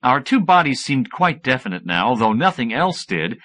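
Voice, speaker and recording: A man reading first-person fiction as an audiobook narrator, in an expressive scripted style. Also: medium pitch, moderate pace.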